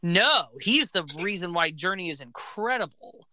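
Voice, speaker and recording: Bright high-voiced man, eager music talk. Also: expressive, normal speed. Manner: enthusiastic, fact-filled, says "like" often. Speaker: podcast co-host. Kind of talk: casual music chat.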